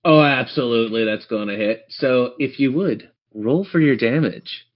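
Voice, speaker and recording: A man acts as game master for a tabletop campaign. He improvises descriptive narration and turns to address his players directly. His pitch is average, and the recording is a little dull and lo-fi. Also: moderate pace.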